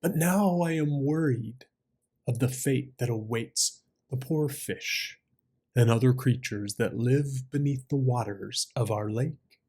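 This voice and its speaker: A man plays an old crane from a storybook fable, husky and very slow. The bird is a schemer who talks gravely and philosophically, coaxing with feigned sorrow. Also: mid-range voice, darkish tone. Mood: grave warning.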